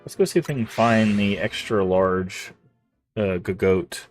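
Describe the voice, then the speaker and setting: A man's voice: fairly low pitch, a little slow, darkish tone, soft background music. A young man streaming gameplay, chatting casually and restarting his sentences, sounding a little congested and nasal. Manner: rambling, restarts phrases often.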